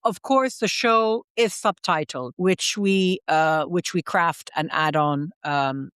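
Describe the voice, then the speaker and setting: A woman's voice: fairly low pitch, slow pace, fairly bright tone, clear, resonant tone, no echo, clean studio sound. A woman hosting a podcast, talking in an earnest, reflective way with frequent fillers and restarts. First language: English. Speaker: podcast host.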